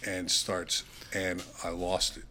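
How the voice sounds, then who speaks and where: A man's voice: deep voice, slow pace, slight background noise. A man chatting casually as a podcast guest, telling rambling anecdotes and acting out the dialogue as he goes. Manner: rambling, animated retelling with quoted dialogue.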